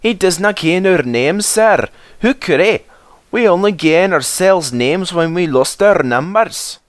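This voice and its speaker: Cheeky Scottish talking locomotive, raised pitch, mock-innocent, for children's storybook. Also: male voice, animated, unhurried, no room sound, clean studio sound. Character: cheeky, sly male Scottish twin steam engines.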